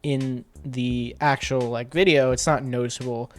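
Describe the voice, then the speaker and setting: A man's voice: mid-range voice, flat delivery, unhurried. A man giving a casual, step-by-step commentary as a video editor breaking down his sound design work. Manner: informal, explains step by step. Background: music tracks and sound effects being demonstrated.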